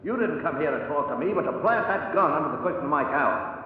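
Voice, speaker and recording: An actor playing an angry, threatening showbusiness agent in a 1950s radio drama, accusing and menacing. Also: male voice, on the high side, lively intonation, fast talker, natural voice. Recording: distant, very echoey, tinny radio recording.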